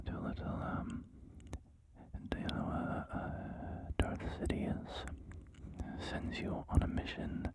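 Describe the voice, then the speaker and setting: Slow, soft whisper over gameplay. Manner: soft, apologetic, rambling with many fillers. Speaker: gaming ASMR creator. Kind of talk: whispered ASMR gameplay commentary.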